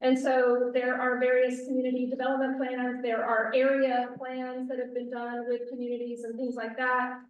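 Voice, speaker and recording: A woman's voice: sits high, monotone, normal speed, hardly any pauses, dull audio. A woman on county planning staff presenting at a public community meeting, often restarting and correcting herself mid-sentence. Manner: frequent self-corrections and restarts; says she has a loud outside voice. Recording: echoey room, far from the mic.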